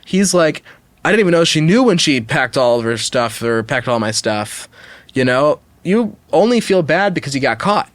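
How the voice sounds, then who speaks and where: A man's voice: fairly high pitch, big pitch swings, normal speed, dry recording. A man co-hosting a podcast, talking casually and emphatically and giving his opinions as he goes. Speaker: podcast co-host.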